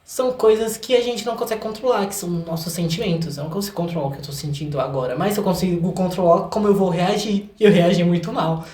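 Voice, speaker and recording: A young Brazilian man talking casually and candidly as a guest in an interview, with an expressive manner. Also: sits high, fast, hardly any pauses. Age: young adult. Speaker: guest, singer and songwriter. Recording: roomy, echoey room sound.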